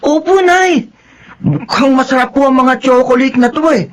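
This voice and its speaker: High-pitched, polite young child. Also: male voice, normal speed, slight background noise. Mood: eager, grateful. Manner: polite, eager. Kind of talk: Filipino radio drama acting.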